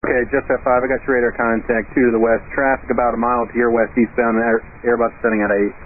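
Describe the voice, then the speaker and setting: Guy doing air traffic control, talks quick n procedural, tinny radio w some static. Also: medium pitch, level tone. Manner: brisk, procedural. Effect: aircraft radio transmission. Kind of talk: clipped aviation radio phraseology.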